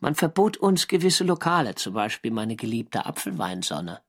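An actor playing a navy sailor who narrates his wartime diary in an earnest, wry and measured literary way for a radio drama. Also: medium pitch, big pitch swings, breathy edge. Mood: wry, reflective. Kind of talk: scripted first-person radio drama narration.

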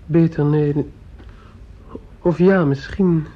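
A man's voice: fairly high pitch, steady tone, slow. An actor playing a feverish fifteen-year-old boy in a radio play, earnest and dreamy, hesitantly groping for words. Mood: weak, groggy. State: ill, sore throat. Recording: dull lo-fi old radio recording.

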